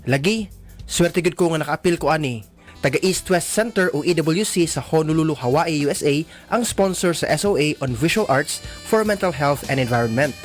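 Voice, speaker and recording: A man's voice: a little high, expressive, a little fast, soft background music, natural voice. A man playing a modest, friendly young art enthusiast in a radio drama, easygoing and agreeable. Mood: pleased.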